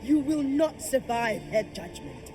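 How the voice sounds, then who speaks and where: A woman's voice: fairly high pitch, sing-song, unhurried. A woman playing a proud, commanding and devout kraken priestess in a video game, solemn and declamatory. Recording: music behind the voice.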